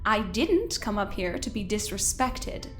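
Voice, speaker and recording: A woman playing a cool, controlling scientist in an audio drama, speaking in a measured, formal, pointed way. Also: mid-range voice, sing-song. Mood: cold reproach. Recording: music under the voice.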